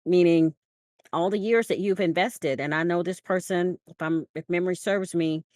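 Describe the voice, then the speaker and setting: American female podcast co-host, notably low voice, close dry sound, emphatic conversational storyteller. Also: normal speed, a little mellow, no echo. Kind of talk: conversational discussion.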